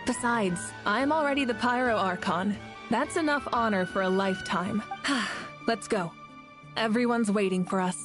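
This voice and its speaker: A woman voicing a confident, warm female fire archon and war leader in a video game, assured and stately. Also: medium pitch, normal speed, over music, lightly acted.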